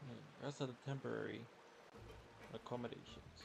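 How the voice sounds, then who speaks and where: A man's voice: mid-range voice, fairly even intonation, moderate pace, creaky, noisy background. A man live-streaming a video game, commenting in a slangy, offhand way. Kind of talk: casual live gaming commentary, reading dialogue aloud.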